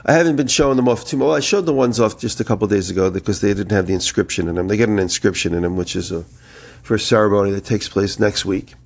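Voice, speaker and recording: A man with a low, creaky voice co-hosts a casual podcast. He sounds a little nasal from a head cold. His talk is conversational and wanders through anecdotes with a gravelly edge. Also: fast talker, few pauses. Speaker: podcast co-host. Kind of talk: casual chat, rambling anecdotes.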